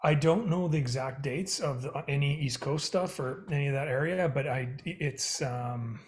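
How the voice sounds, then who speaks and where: A man's voice: medium pitch, normal speed. A man from Northern Ireland, a guest musician, chatting in a cheerful, joking way and laughing readily. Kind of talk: casual interview chat.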